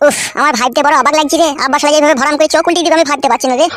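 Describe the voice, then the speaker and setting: A man's voice: very high voice, steady tone, very fast, pushed and bright, soft background music. Man playing a crude, grumbling cartoon husband. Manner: coarse, grumbling. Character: crude, grumbling husband torn between two wives. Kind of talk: amateur comedy cartoon dialogue.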